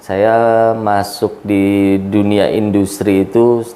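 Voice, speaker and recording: A man in his forties from Aceh, a labor union chairman, speaking in a measured, thoughtful way with pauses in a casual podcast interview. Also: fairly low pitch, little pitch movement, dark tone, a little hiss or hum. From Aceh, Indonesia.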